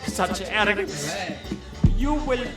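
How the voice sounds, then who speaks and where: A menacing cult leader in a radio play. His very high voice swoops as he makes solemn threats, echoing from far off over music. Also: very animated, heavily acted. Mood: solemn menace. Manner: slow, drawn-out, ominous pronouncements. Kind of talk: radio theater acting.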